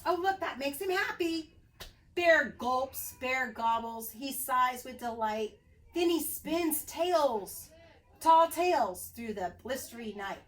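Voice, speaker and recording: Animated, high-pitched woman reading to children. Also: slow. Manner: sing-song, addressing young children. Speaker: preschool teacher reading aloud. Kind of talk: expressive children's story read-aloud.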